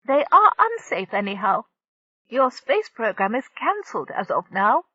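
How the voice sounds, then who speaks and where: A stern, unbending alien captain for a storybook reading, as a woman narrator puts her on. The voice sits high and lively, rising sharply on its demands. It comes over a dull, dry, lo-fi recording. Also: unhurried, breathy edge, dry recording, heavily acted. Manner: severe, emphatic.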